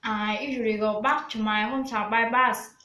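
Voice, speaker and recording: A woman with a somewhat high voice gives careful answers in a practice conversation. Each line comes slow and even, as if recited. The room adds a clear echo. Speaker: student. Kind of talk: scripted English speaking practice.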